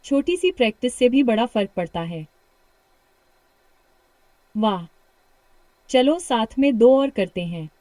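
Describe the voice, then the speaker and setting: A British-accented woman leads a speaking drill, cheering the listener on and repeating each practice line slowly and clearly. Her voice sits a little high. The sound is close and dry, with no room around it. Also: big pitch swings, no room sound. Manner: warm encouragement, slow repeated practice sentences. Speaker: English speaking coach. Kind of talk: guided shadowing practice lesson.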